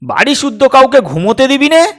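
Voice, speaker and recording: A man voicing a sharp, exasperated middle-aged mother in a comic audio story, snapping out shrill complaints. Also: big pitch swings, fairly quick. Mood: scolding.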